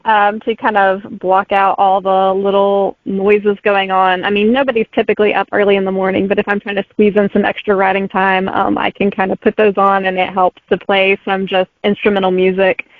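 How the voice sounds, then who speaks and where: A woman author as a guest, speaking in a relaxed, friendly way and laughing easily. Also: mid-range voice, fairly quick, few pauses, no room sound. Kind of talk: casual interview chat. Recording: dry, tinny phone-like line.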